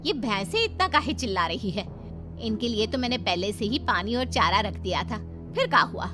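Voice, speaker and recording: A woman's voice: mid-range voice, expressive, normal speed, natural voice. A woman voicing a practical village wife in a cartoon story. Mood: puzzled. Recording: loud music behind.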